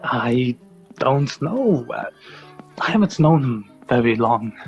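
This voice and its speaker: An aging, candid lieutenant in a radio drama. His voice is medium in pitch, kindly and hesitant, with music running behind. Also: big pitch swings, slow pace, highs cut off. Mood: grave, sympathetic. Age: older.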